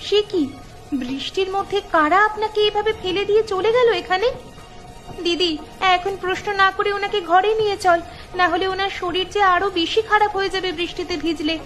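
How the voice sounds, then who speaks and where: A woman voicing a kind, curious young village girl in a cartoon, earnest and expressive. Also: very high voice, a little fast, soft background music. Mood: concerned. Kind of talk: cartoon storytelling voice acting.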